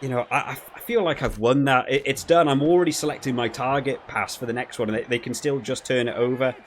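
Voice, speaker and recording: A man with a mid-range voice comments casually as he plays a video game. He thinks aloud in halting, repeated phrases and picks critically at what he sees. Faint noise sits behind his voice. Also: medium pitch, steady tone. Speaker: gaming video creator reviewing while playing.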